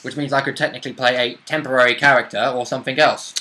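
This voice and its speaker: A man's voice: medium pitch, steady tone, fast talker, bright, forceful tone. A man playing a tabletop roleplaying game, chatting casually and informally with mild swearing.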